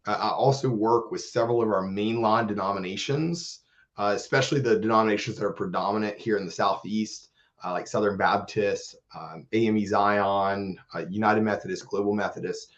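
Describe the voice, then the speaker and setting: A man with a hoarse voice, recovering from illness, earnestly presenting in a church webinar. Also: on the low side, steady tone, a little fast. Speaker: church outreach manager. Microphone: video call. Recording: dull lo-fi webinar audio.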